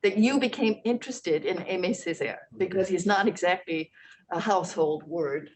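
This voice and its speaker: A woman's voice: on the low side, normal speed, echoey room, a little hiss or hum. A woman professor moderating a panel conversation, explaining thoughtfully with some fillers.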